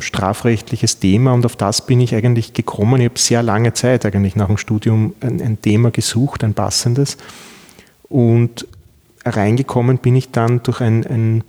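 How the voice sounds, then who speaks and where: A man's voice: on the low side, mellow, long reverb tail. A middle-aged man from Vienna with an Austrian accent, a law professor answering interview questions with many fillers and restarts. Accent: Viennese Austrian German.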